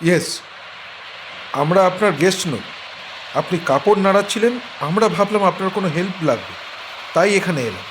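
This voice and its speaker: A man's voice: high-pitched, big pitch swings, brisk, long pauses, slight background noise, poor audio. A man acting as a young man stranded on an island with his fiancée, in an audio drama. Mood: polite. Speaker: Mac.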